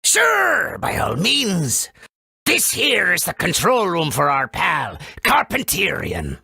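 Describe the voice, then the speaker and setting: A man voicing a proud, helpful, folksy forest creature in a video game, with a raspy, creaky voice. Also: high voice, wildly swooping pitch, takes it slowly, pushed and bright, no room sound, clean studio sound, exaggerated voice. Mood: proud, explanatory. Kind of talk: video game character acting.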